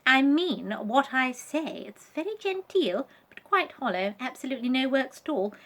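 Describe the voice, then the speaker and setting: A snobbish grand lady doll who puts on airs, her voice pitched high and sweeping about as she declares things loudly. A woman reads her aloud in a storybook reading. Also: expressive, normal speed. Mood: haughty. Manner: pompous, high and affected.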